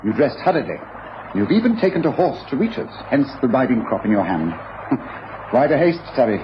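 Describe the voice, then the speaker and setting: A man playing a keenly observant English gentleman detective in a radio drama, brisk, probing and precise. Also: mid-range voice, big pitch swings, natural voice. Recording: muffled, noisy old radio recording.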